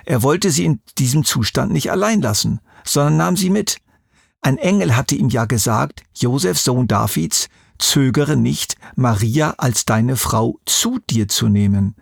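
A man explaining things to a child in a conversational way, as the expert, with measured, clearly structured answers. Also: mid-range voice, animated, no echo, studio quality.

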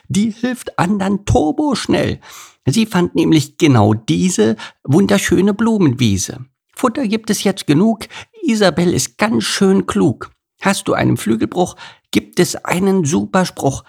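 Squeaky little storybook bees, singsongy, super high, bit raspy. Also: male voice, sing-song, normal speed, hardly any pauses, darkish tone, heavily acted. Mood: singsong. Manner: singsong, chirpy. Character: tiny cheerful bees, high chirpy voices.

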